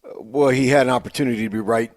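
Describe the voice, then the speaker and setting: A man's voice: medium pitch, fairly even intonation, racing, dry recording, studio quality. An American man co-hosting sports radio, giving off-the-cuff opinions in a rambling, self-correcting way. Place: radio studio.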